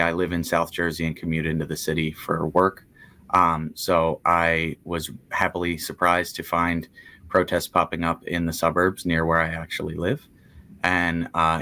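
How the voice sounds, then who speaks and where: Man, very low voice, monotone, bright tone, easygoing activist. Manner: plain, easygoing, filler-heavy. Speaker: union member and activist. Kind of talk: casual interview talk.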